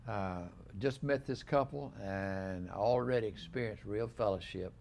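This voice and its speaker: Older man, gravelly host voice. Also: on the low side, a little mellow, a little hiss or hum. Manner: rambling, halting, many restarts. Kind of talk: casual studio interview. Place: small living-room studio set.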